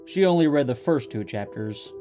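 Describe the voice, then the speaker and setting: A man's voice: medium pitch, lively intonation, normal speed, over music. A man narrating a scripted story as the host of a fiction reading.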